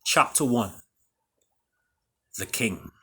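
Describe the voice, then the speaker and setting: A man with a Trinidadian accent, an author and storyteller speaking theatrically, with booming emphasis and hushed drops. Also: lively intonation, drawn-out words, slightly rough. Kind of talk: dramatic storybook reading and introduction.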